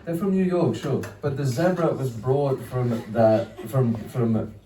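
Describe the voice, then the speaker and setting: A man's voice: mid-range voice, a little slow, warm tone, recorded from a distance. A young man doing a rambling, self-deprecating stand-up set, swearing and trailing off into laughs. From Wales. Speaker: stand-up comedian. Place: small comedy venue. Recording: echoey room, mic picked up from afar.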